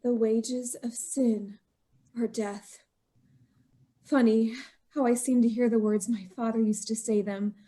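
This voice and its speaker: An actress playing a young bride driven to murder, speaking with breathless anguish in an old-time radio drama. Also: female voice, on the high side, steady tone, slow, long pauses, warm tone. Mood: bitter.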